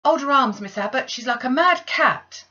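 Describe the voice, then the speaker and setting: A woman voicing a brisk nursemaid in a classic novel, firm and admonishing but not unkind. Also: sits high, expressive, sharp tone, muffled recording, voice pushed up, heavily acted. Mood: alarmed, bossy. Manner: bossy, admonishing.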